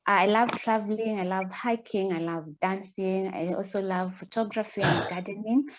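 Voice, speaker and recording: A woman's voice: medium pitch, fairly even intonation, normal speed, background noise. A woman from Kenya gives a friendly introduction of herself. Her voice sits in a middle range, and the audio is muffled.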